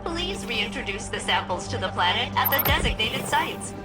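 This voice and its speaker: A woman's voice: on the high side, lively intonation, moderate pace, over music, close to an everyday voice. A woman playing a chipper, precise female starship AI in a video game, with formal wording. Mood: brisk, instructive. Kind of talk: scripted game dialogue.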